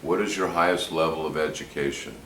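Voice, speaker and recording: A man's voice: a bass voice, steady tone, brisk, a bit bright, reverberant, a little hiss or hum. A man working as an attorney who questions a witness in a courtroom, asking short, direct questions. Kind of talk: direct examination.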